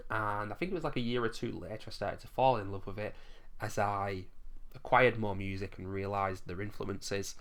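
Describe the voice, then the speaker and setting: A man with a somewhat low voice, hoarse as if losing it, talks through a countdown of his favourite albums. His delivery is chatty and enthusiastic, with casual swearing. He sounds like a home vlogger talking straight to his viewers. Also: fairly bright tone. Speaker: music vlogger ranking albums.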